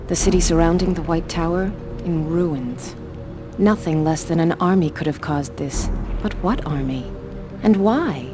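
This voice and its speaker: An actress playing a resolute, dutiful keeper in a fantasy video game, with formal, earnest and dramatic delivery. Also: female voice, fairly low pitch, lightly acted. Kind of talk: scripted game narration and dialogue.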